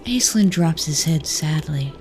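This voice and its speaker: A woman's voice: low voice, animated, a little slow, a little mellow. A woman narrating a scripted fantasy story. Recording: faint music behind the voice.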